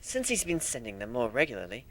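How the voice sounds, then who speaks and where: A man giving an amateur dramatic reading of a first-person story, expressive and a little unpolished. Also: mid-range voice, fast, dry recording. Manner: expressive, animated, with slips and restarts.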